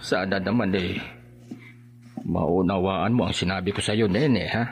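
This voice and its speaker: An actor playing a worried, well-meaning grandfather in a horror radio drama, hesitant and expressive. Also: low voice, measured pace, background noise. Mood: reassuring. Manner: hesitant, expressive, musing aloud.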